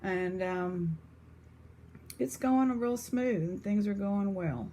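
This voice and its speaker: A woman's voice: mid-range voice, takes it slowly, warm tone, a little hiss or hum. A woman with an East Texas accent chatting casually to her viewers as an online seller. She rambles, with lots of fillers and restarts. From East Texas, USA.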